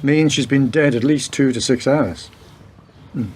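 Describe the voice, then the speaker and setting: Man acting as senior detective, dry, world-weary, terse probing delivery, mid-pitched voice, radio play, background noise. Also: measured pace, close to an everyday voice. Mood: dry, pensive. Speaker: actor in a community radio play. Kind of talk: radio drama dialogue.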